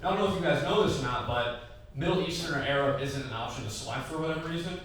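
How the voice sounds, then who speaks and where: A man's voice: mid-range voice, animated, fast talker, far from the mic, slight background noise. A young man in his twenties doing a stand-up set, rambling and conversational, full of "like"s and acted quotes. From Iraqi family, raised in the Midwest. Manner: loose, conversational storytelling with many "like"s and acted quotes. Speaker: stand-up comic. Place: tavern comedy room. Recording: echoey bar room recorded from afar.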